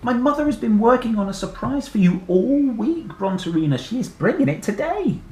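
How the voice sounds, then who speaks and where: An eager little girl from the ballet class, as a reader puts her on, urgent and pleading, with a lively, swinging pitch. It echoes in the room. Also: normal speed, far from the mic, lightly acted.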